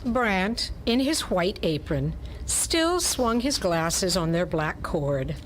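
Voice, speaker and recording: A woman's voice: on the low side, very animated, slow, low-quality recording. A woman acting as narrator of a hardboiled detective story, reading scripted prose in a dramatic storytelling style. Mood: dramatic narration. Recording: clearly audible background noise.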